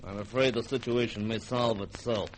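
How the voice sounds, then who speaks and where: Man as measured colonial governor, faint noise. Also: on the low side, steady tone, lightly acted. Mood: grave, measured. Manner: formal, deliberate, restrained. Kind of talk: vintage radio drama acting. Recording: faintly noisy old radio recording.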